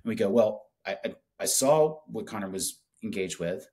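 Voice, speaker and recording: A man in his forties making a casual, enthusiastic product pitch in conversation, speaking colloquially on a video call. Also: expressive, normal speed, warm tone. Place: small office with whiteboard, indoors.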